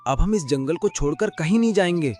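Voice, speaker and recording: A man's voice: fairly high pitch, expressive, a little fast, darkish tone, faint music behind, lightly acted. A man voicing a clever, loyal male donkey in a cartoon story, earnest and crafty. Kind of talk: cartoon voice acting.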